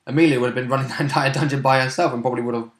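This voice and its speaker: A man's voice: mid-range voice, steady tone, rapid-fire. A man chatting casually as a player in a tabletop game, with wry interjections. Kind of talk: casual chat. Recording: echoey room.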